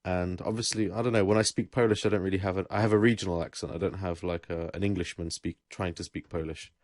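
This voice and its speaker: Young English guy, deep voice, laid-back rambling storyteller, dry mic. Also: fast talker, no echo. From England. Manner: relaxed, rambling, with self-corrections. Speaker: podcast host. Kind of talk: casual chat.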